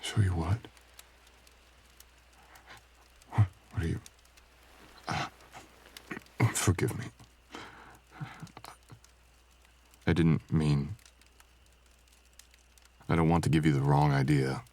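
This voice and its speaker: Guy playing a brooding dragonkin man, really low husky voice, soft and tender, intimate asmr roleplay. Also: a little slow, long pauses, heavily acted. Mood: flustered. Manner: soft, hesitant, tender, archaic courtly phrasing. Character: brooding, gentle dragonkin man, a mysterious stranger.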